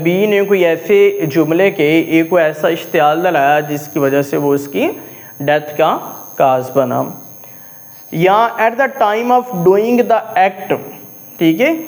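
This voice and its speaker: Man, Pakistani accent, lecturing on law, high voice, slow measured delivery, checks in often with the class. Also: darkish tone, low-quality recording. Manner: explains step by step, often checks in with "okay"; reads legal English phrases slowly and deliberately.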